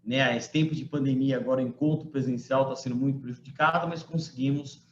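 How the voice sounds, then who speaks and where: A man's voice: medium pitch, fairly even intonation, a little fast, slight background noise. A man in his forties, a law professor from Brazil, giving a conversational online lecture to students and often checking in with short tag questions. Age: 40s. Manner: conversational, frequent tag questions, emphatic quoting. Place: home room. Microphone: computer mic on a video call. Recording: roomy, muffled video-call audio.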